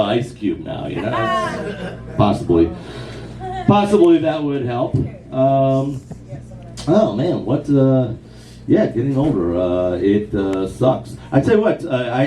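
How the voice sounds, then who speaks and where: A man's voice: mid-range voice, warm tone, reverberant, distant mic, slight background noise. A man in his fifties doing a loose, rambling stand-up set at a small comedy night, with lots of "man" and "bro" fillers and an audience laughing around him. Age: 50s. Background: audience laughter and heckles.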